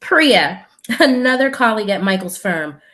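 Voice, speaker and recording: A woman's voice: medium pitch, lively intonation, unhurried, a bit bright. A woman reading scripted character introductions for an audio play.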